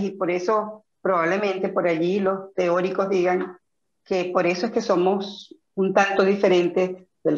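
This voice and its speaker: A middle-aged woman from Venezuela with a Zulia accent, thoughtfully answering interview questions with frequent fillers and restarts. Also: mid-range voice. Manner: reflective, many fillers and restarts. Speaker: interview guest. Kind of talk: conversational interview. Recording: echoey, dull lo-fi audio.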